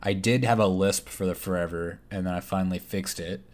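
A man's voice: low-pitched, fairly even intonation, brisk. A young man from Texas with a slight Texas twang, a podcast co-host in rambling casual chat. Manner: chatty, rambling, looks things up mid-talk.

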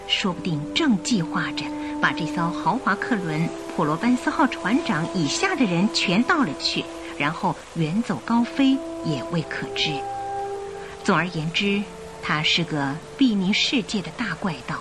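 A woman narrating a radio drama in an expressive, suspenseful storytelling style. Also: on the low side, moderate pace. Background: music.

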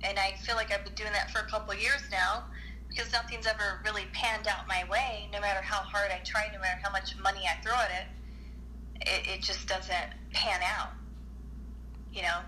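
A woman's voice: medium pitch, little pitch movement, moderate pace, bright, forceful tone, slight background noise, poor audio. A woman speaking candidly in casual conversation, plainspoken and reflective.

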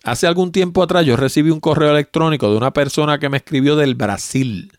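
A man's voice: on the high side, fairly quick, dry recording, studio quality. A man in his sixties with a Puerto Rican accent, hosting a tech podcast and answering listener mail in a chatty, explanatory way. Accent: Puerto Rican Spanish. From Puerto Rico. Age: 60s. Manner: chatty, explanatory, plain-spoken.

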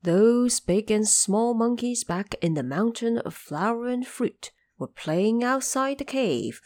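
A woman narrating a scripted fantasy tale in a dramatic, expressive storytelling manner. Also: on the high side, slow pace.

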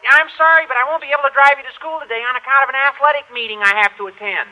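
An actor playing an eager, comically self-important teenage schoolboy with a squeaky adolescent voice in an old-time radio sitcom. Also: male voice, racing, muffled recording.

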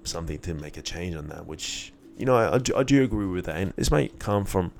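Young man with a very low voice. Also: lively intonation, fairly quick, vocal fry, a little hiss or hum. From born in Iraq, moved to Australia as a child. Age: young adult. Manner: informal, candid, with slang and swearing. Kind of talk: casual monologue mixing reflection and personal storytelling.

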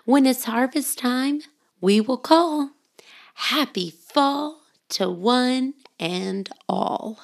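A woman voicing a cheerful, proud little pumpkin in a gentle singsong children's rhyme. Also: high voice, very slow, gravelly, voice pushed up, full character voice. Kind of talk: children's rhyme storybook reading.

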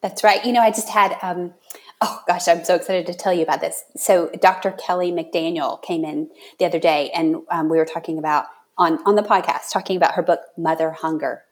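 A woman's voice: lowish voice, fairly even intonation, a little fast. Effusive woman hosting a casual podcast. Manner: effusive, encouraging, informal. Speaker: podcast host. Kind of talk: casual interview chat.

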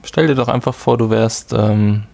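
A man co-hosting a podcast, relaxed and explaining things with light laughter. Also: on the low side, normal speed, vocal fry. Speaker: podcast co-host. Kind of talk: casual chat.

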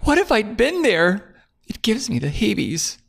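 A man's voice: high-pitched, wildly swooping pitch, unhurried, vocal fry, breathy edge, voice pushed up, heavily acted. A man voicing a frightened young woman client, pleading and sobbing as she talks. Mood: frightened, tearful.

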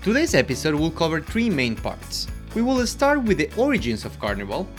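Animated man from Ecuador co-hosting. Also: a little fast, faint music behind. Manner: animated, expressive delivery with wide pitch swings. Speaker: podcast co-host. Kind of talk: scripted podcast narration.

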